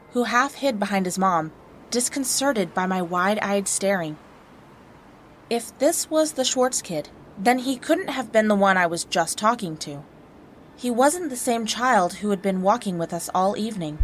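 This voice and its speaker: A woman reading a scripted first-person horror story in a dramatic narrating style. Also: medium pitch. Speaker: guest narrator of horror stories.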